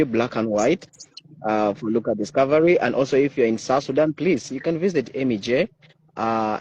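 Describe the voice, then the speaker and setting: A man's voice: medium pitch, darkish tone, no room sound, highs cut off. Man hosting a livestream, reading comments. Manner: reads viewer comments aloud, hesitant fillers. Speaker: host.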